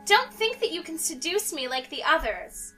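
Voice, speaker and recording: A woman's voice: extremely high pitch, big pitch swings, normal speed, sharp tone, recorded from a distance, soft background music. A young woman reading a visual novel game aloud in a playful way, mixed with casual banter. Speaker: let's play host.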